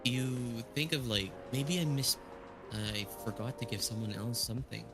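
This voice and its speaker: A man's voice: medium pitch, moderate pace, over music, rough recording. A man chatting casually with friends, hesitant and restarting, full of "like". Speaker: co-player. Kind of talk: casual chat.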